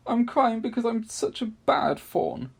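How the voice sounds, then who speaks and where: Storybook fawn, played by a man: gentle, guilty, sobbing creature, pitched up, with a husky edge. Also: sing-song, unhurried, full character voice. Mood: sobbing, wailing. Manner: tearful, wailing, self-reproaching.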